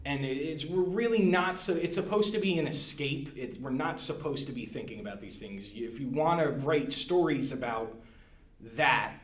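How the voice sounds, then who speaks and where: Casual male guest, midrange voice, halting with fillers, echoey room. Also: mid-range voice, fairly bright tone. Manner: hesitant, many fillers and restarts. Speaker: guest, library staff. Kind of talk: casual podcast discussion. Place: room at a library.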